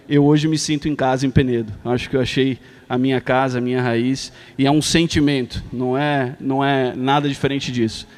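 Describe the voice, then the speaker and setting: A man's voice: mid-range voice, darkish tone. Earnest man with a São Paulo accent. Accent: São Paulo Portuguese. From São Paulo, Brazil. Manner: earnest, with frequent filler hesitations. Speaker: foundation president giving a speech. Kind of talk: ceremonial speech. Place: theater stage at a live event.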